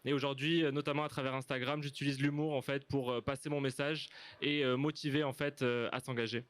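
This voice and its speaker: A young man introducing himself as an activist guest on a live panel, in a halting way. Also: mid-range voice, flat delivery, a bit bright, clean tone, no room sound. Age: young adult.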